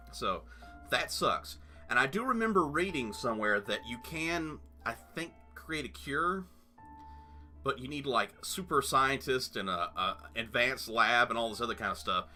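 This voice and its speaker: A man's voice: mid-range voice, lively intonation, a little slow, pushed and bright, faint music behind. A man hosting a let's play, talking in a rambling, chatty way full of fillers and asides. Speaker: gaming channel host.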